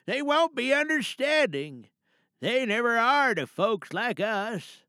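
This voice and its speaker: A man voicing an old grandfather in an audio drama monologue, speaking haltingly and deliberately, with a cool temper. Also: very high voice, big pitch swings, bright, forceful tone, clear, resonant tone, no room sound, clean studio sound, pitched above the performer's own voice, full character voice. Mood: cool, steadying. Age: older. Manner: slow, halting, deliberate delivery. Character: slow-talking old grandfather, loyal but emotionally cool.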